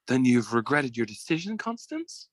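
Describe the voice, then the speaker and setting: A man playing a smooth-talking, scheming suitor in an old-time radio melodrama, with a suave, theatrical and formal manner. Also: mid-range voice, dry recording, clean studio sound. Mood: urgent.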